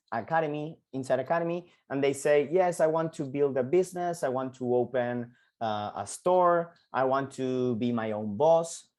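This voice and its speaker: Argentine man coaching with halting repeats. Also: medium pitch, darkish tone. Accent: Argentine Spanish. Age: 30s. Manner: halting, frequent repeated words and fillers. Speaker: entrepreneurship coach. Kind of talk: online lecture to students.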